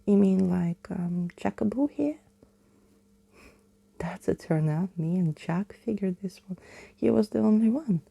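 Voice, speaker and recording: A woman's voice: mid-range voice, expressive, a little slow, creaky, pauses often, warm tone, breathy edge, heavily acted. Woman whispering as a cheeky young street-thief boy. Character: cheeky gap-toothed street-thief boy from a children's storybook. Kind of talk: whispery storybook reading.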